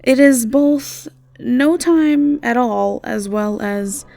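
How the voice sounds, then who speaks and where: A woman's voice: on the high side, slow pace, gravelly, mellow, pitched above the performer's own voice. A woman voicing a friendly, flustered young innkeeper, hesitant and stammering in a bubbly way. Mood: flustered, apologetic.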